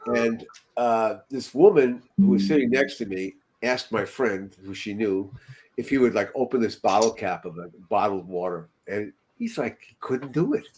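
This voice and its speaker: A man's voice: fairly low pitch, lively intonation, normal speed, a little mellow, poor audio. Chatty older man telling stories. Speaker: podcast co-host. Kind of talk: casual chat, telling anecdotes. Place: room at home, video call.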